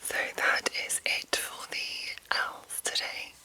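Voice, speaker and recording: A woman ASMR host speaking entirely in a soft, breathy, unvoiced whisper to her viewers. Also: takes it slowly. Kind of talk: whispered ASMR reading of game rulebook and chat to viewers.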